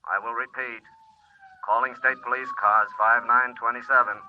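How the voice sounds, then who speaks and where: A male police radio dispatcher from an old radio drama. He sounds clipped and official, barely varying his pitch, and comes through a narrow, tinny radio filter. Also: background noise. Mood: urgent, official. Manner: flat, measured, official announcing. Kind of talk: 1940s radio acting.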